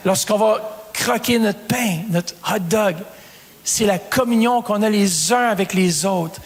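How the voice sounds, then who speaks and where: High-voiced pastor preaching in a reverberant hall. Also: male voice, takes it slowly. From Canada. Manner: preaching with rising emphasis and calls to the congregation. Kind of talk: sermon.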